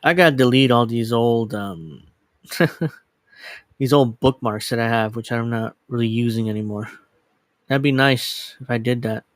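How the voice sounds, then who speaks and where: A man's voice: medium pitch, unhurried, long pauses, no echo, studio quality. A man in his thirties chatting casually as a livestream host, rambling and scattered, self-deprecating and casually profane. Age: 30s. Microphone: dedicated microphone.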